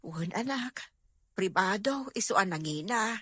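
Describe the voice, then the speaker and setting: Lady acting older filipino mom, husky voice, concerned, dry sound. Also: medium pitch, lively intonation, measured pace, pushed and bright, no room sound, heavily acted. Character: older Filipino mother on a phone call. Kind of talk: comedy-drama acting.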